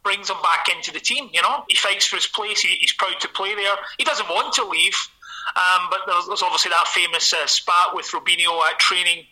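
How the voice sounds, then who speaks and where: A man's voice: sits high, fairly even intonation, brisk, bright, forceful tone, poor audio. A middle-aged man from Scotland with a Glaswegian accent, an author being interviewed, talking in a hesitant, restarting way. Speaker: author guest. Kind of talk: conversational interview.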